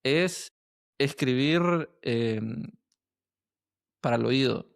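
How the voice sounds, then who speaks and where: A man's voice: medium pitch, drawn-out words, creaky, smooth, no echo, studio quality. A man hosting a conversational podcast interview, feeling his way through sentences and checking in with tag questions. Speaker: podcast host interviewing a guest.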